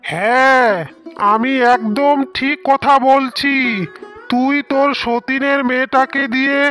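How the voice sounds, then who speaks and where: A woman playing a stern, all-knowing holy man in a cartoon fairy tale, with slow, emphatic pronouncements. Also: high voice, fairly bright tone, clean tone. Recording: music under the voice.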